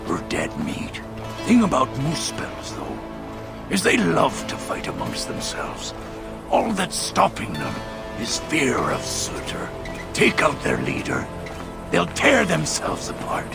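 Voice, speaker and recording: A gruff dwarf resistance leader from a fantasy game. His voice is gravelly and moves freely up and down, with slow, folksy, wry delivery over music. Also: mid-range voice, full character voice. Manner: plain-spoken, folksy, wry. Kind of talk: video game cutscene acting.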